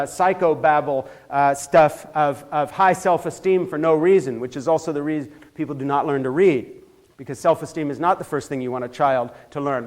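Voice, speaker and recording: An older man from Switzerland lectures in a church with a fairly high voice. He builds from measured remarks to heated, forceful emphasis and often trips over and restarts his phrases. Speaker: speaker giving a church talk. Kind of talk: impassioned lecture.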